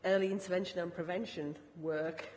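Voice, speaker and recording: A woman with a croaky voice, speaking formally and haltingly as a councillor in a committee meeting. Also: fairly low pitch, steady tone, a little mellow. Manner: hesitant, halting. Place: large indoor council meeting room. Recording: echoey hall with background noise.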